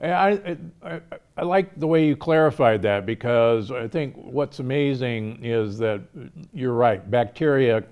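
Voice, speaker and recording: Older male doctor-host, smooth mid-pitched voice, lapel mic in studio, eager reflective interviewer. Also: animated, unhurried, highs cut off. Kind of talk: conversational interview. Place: studio set with lamp and shelves.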